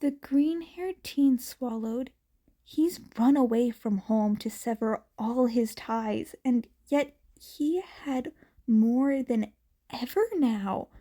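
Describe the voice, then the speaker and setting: A woman reading fanfiction aloud as a narrator in a soft, unhurried way. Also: a little high. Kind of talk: amateur audiobook narration.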